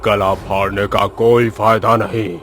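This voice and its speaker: A man voicing a menacing severed-headed male ghost in a horror story, with a drawn-out, threatening delivery. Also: on the low side, little pitch movement, fairly bright tone, slight background noise. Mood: gloating menace. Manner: slow, drawn-out menacing delivery with evil cackles. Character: menacing severed-headed ghost, growling villain of a haunted maze. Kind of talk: dramatised Hindi horror story acting. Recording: music under the voice.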